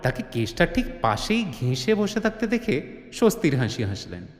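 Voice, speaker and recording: A male narrator tells a dramatic story in a highish voice with very lively intonation. The sound is echoey with a long reverb, over a faint music bed. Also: moderate pace.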